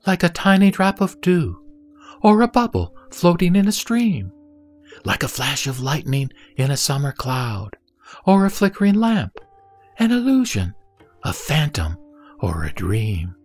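A man playing the Buddha as a serene teacher, reciting scripture in a measured, solemn audio drama style. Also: fairly high pitch, big pitch swings. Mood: solemn, emphatic. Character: the Buddha, serene male teacher addressing his disciple. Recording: music behind the voice.

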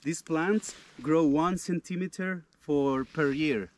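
A man from Colombia whose first language is Spanish, guiding a hike and explaining local plants in halting English. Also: fairly high pitch, slight background noise. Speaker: local guide. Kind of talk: casual explaining. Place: outdoors in mountains.

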